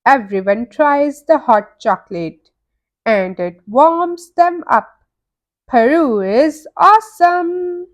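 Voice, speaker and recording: A woman narrating a children's storybook in an expressive, deliberate way for young listeners. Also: high-pitched. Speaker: children's read-aloud narrator.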